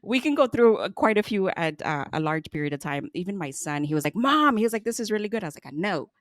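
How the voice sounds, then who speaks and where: A woman's voice: mid-range voice, sing-song, quick pace, dry recording, studio quality. A middle-aged woman hosting a podcast interview, chatting casually, laughing often and restarting her sentences. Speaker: podcast host.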